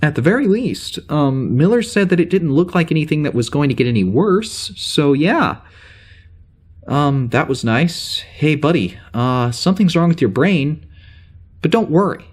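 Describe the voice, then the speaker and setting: An actor playing a troubled starship officer with amnesia, halting and rambling as he records a log in a naturalistic audio drama. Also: a little high, animated, husky. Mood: wry unease. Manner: halting, rambling, self-interrupting.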